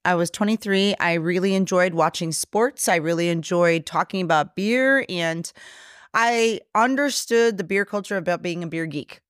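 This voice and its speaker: A brash woman in her forties, Polish as her first language, trades stories with easy sass and the odd swear word. She sits in a bar for a casual podcast chat. Her voice sits at a middle pitch and sounds close and dry, with no room around it. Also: moderate pace, smooth, dry recording. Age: 40s. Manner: sassy, swears freely, brash and joking. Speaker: podcast guest, brewery co-owner.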